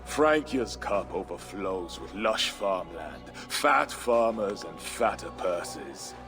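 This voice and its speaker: An actor playing a bitter, brooding Viking war leader in a video game, speaking gravely and deliberately. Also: male voice, deep voice, very animated, heavily acted. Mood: grim, disillusioned. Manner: grave, deliberate, questioning oratory. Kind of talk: dramatic video game cutscene acting. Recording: music under the voice.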